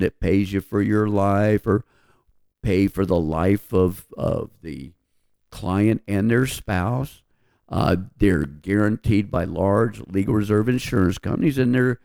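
A man's voice: on the low side, vocal fry, a little mellow, no echo, clean studio sound. A man explaining finances as an advisor on a talk show, in a folksy, patient way.

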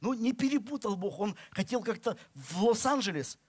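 A man's voice: high voice, expressive, normal speed, breathy edge. A middle-aged man from Russia preaching a sermon, with emphatic delivery that rises and falls. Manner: emphatic, rising and falling delivery. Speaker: pastor.

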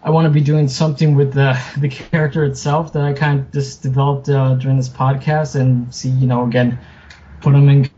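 Guy being interviewed, rambles along and puts himself down, kinda dull lo-fi sound, normal pitch. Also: flat delivery, brisk, warm tone, slight background noise. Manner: rambling, self-deprecating. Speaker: podcast guest, author and film producer. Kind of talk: casual interview chat.

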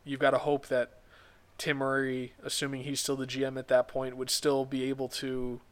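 A man hosting a solo sports podcast, hoarse and stuffed up from a cold, talking through his analysis in a measured way and often restarting his words. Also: medium pitch, fairly bright tone. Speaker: podcast host.

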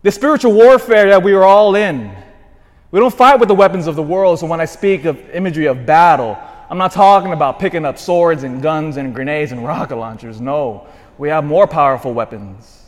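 A man in his forties, a parish priest giving a sermon, swinging from shouted emphasis to quiet asides. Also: fairly high pitch, fairly quick. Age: 40s. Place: church. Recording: long church reverb.

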